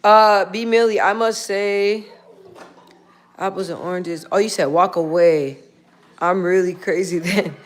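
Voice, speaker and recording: A woman's voice: lowish voice, fairly even intonation, a little slow. A woman chatting casually on a livestream, reading out viewer comments and talking aside to people in the room, rambling and laughing often. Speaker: livestream host.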